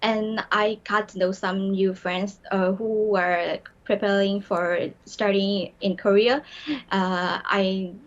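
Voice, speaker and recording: A young woman from Myanmar answers interview questions in English with a Burmese accent. She talks haltingly, with many fillers and restarts, in a flat, even tone. The sound is a little muffled. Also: medium pitch. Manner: hesitant, many fillers, halting non-native phrasing. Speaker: guest. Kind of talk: broadcast interview.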